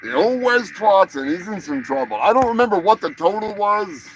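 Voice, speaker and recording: A man's voice: high-pitched, sing-song, moderate pace, faint music behind. A man hosting a live show, talking over the news in a loose, sarcastic, rambling way with lots of swearing and laughter. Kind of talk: casual profane news commentary.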